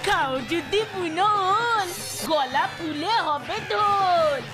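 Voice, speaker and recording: A woman's voice: extremely high pitch, extremely slow, heavily acted. A woman voicing a gleeful, teasing child in a children's cartoon. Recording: cartoon music behind.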